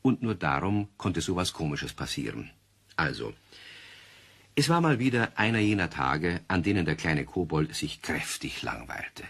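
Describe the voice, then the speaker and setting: A man narrating a children's radio play as a storyteller, talking conversationally to young listeners with gentle, wry amusement. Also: low-pitched, big pitch swings, normal speed, vocal fry, husky, heavily acted. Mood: wry, friendly.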